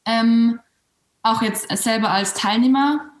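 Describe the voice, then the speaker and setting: A woman's voice: a little high, takes it slowly. A woman yoga teacher talking in a casual interview. She hesitates, often restarts her sentences and uses fillers. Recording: roomy echo.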